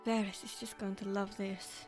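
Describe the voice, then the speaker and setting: A man doing a soft weary tree dryad woman. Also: high-pitched, level tone, moderate pace, over music, pitched above the performer's own voice, natural voice. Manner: soft, subdued. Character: weary young female tree dryad, kind and tired.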